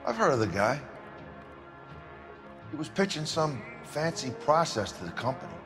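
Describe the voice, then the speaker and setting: Cocky, slangy security chief. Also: male voice, fairly high pitch, sing-song, moderate pace, over music. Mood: cocky, evasive. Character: brash, slippery male head of aircraft company security. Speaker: head of security. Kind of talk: video game cutscene acting.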